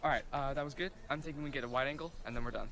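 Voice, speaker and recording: A man playing an easygoing amateur film director giving brisk, casual instructions on set in a horror short. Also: mid-range voice, lightly acted. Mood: casual, businesslike. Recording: noisy, quiet amateur camcorder audio.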